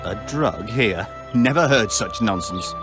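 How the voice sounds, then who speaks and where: An actor voicing a defensive, evasive shopkeeper with a wheedling manner in a fantasy game. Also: male voice, on the low side, expressive, a little slow, music in the background. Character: shifty, defensive male incense shopkeeper. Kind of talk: fantasy video game acting.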